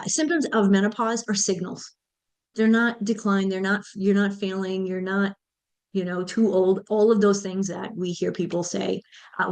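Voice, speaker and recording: A woman, hosting a motivational solo podcast and speaking to listeners directly in an encouraging way. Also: medium pitch. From United States. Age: 50s. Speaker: podcast host and menopause mentor.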